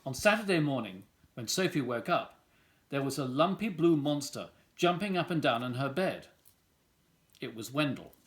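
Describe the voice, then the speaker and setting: A man reads a picture book aloud for young children. His mid-pitched voice moves up and down in a lively, animated way as he narrates. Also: moderate pace. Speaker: volunteer story reader.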